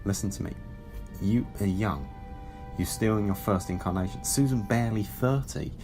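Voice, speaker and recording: An actor playing an urgent, stern Time Lord ex-soldier in an audio drama, insistent and formal. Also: male voice, lowish voice, normal speed, a little mellow, faint music behind, close to an everyday voice. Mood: pleading, forceful.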